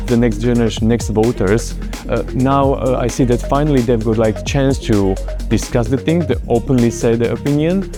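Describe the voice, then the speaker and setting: A man giving an interview testimonial as a community organizer and activist. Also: mid-range voice.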